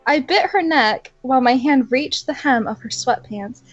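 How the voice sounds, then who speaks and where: A woman's voice: sits high, animated, normal speed, dry recording, muffled recording, faint music behind. A young woman reading fan fiction aloud in a mock-dramatic way and adding sarcastic, giggly comments between passages.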